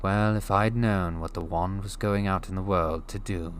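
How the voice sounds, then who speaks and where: A man voicing an eerie old male wandmaker who speaks softly and deliberately. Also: low-pitched, fairly even intonation, a little hiss or hum, lightly acted. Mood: musing. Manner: soft, deliberate, drawn-out delivery.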